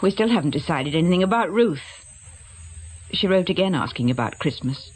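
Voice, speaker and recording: A woman's voice: on the low side, expressive, a little fast, darkish tone, slightly rough. An actress playing an earnest, reserved woman in a radio drama, speaking with restraint. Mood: regretful, reserved. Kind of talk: naturalistic British radio drama acting.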